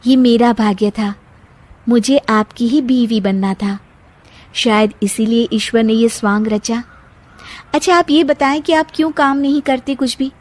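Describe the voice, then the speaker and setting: A woman's voice: a little high, unhurried. A woman voicing a dutiful, sensible young daughter with an earnest, comforting manner in a moral story. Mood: gentle. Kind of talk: Hindi moral story dramatization.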